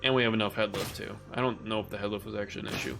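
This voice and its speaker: A man streaming a game from home, chatting casually with viewers as his voice grows raspy and rough. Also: on the low side, fairly even intonation, racing. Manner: laid-back, swears casually, talks to chat. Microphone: condenser studio mic. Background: game music.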